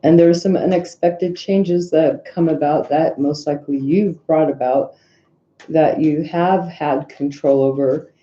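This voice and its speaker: A woman's voice: low voice, fairly even intonation, unhurried, warm tone. Nasal, congested woman reading tarot. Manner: rambling, filler-heavy, hums to herself. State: congested sinuses. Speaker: tarot reader. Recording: echoey room, dull lo-fi sound.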